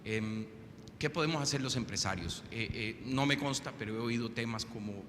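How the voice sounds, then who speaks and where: A man's voice: normal speed, a bit bright. A man from Rica, a businessman in the audience putting an earnest question to a panel after telling an anecdote. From Costa Rica. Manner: earnest, tells an anecdote before his question, with fillers. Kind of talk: question from the floor at a conference. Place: large conference hall. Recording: long hall reverb with faint low rumble.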